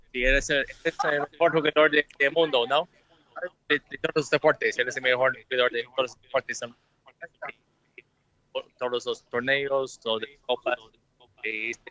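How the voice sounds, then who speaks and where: An American man, a native English speaker, answering in halting second-language Spanish. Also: medium pitch, pushed and bright, dull audio. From United States. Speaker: football fan.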